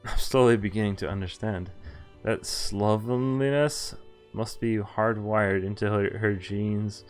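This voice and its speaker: A man's voice: fairly low pitch, steady tone, a little slow. A man playing through a visual novel, reading the text aloud and commenting in a wry, conversational way. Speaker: streamer. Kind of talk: casual game walkthrough, reading dialogue aloud and commenting. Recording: game music behind the voice.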